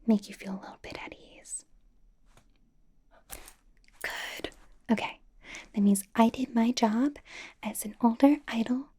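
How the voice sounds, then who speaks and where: Woman acting as bubbly doting big-sister pop idol, ASMR roleplay, very breathy whisper, soft mellow tone, encouraging and rambling. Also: a little high. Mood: reassuring. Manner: encouraging, rambling, playfully reassuring. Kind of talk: ASMR roleplay with personal attention.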